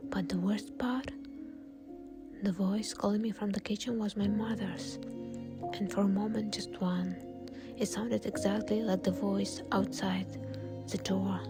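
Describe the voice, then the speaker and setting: A woman narrating a horror story in a breathy, unvoiced whisper. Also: medium pitch, fairly even intonation, unhurried, long pauses. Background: soft music.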